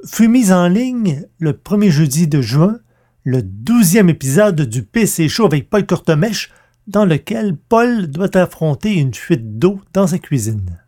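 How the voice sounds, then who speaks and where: Man, podcast host, relaxed mellow tone, scripted announcements. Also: sits high, a little slow.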